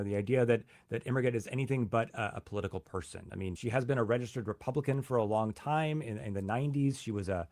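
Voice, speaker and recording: A man's voice: medium pitch, darkish tone, dry recording. Reporter man, dry close sound. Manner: halting, repeats words at starts. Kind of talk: news interview, reporter explaining.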